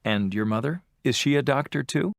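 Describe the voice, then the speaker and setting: An adult voice playing an interviewer in a scripted textbook dialogue, asking friendly questions with measured delivery. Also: mid-range voice, expressive, vocal fry, no echo, studio quality. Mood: plain.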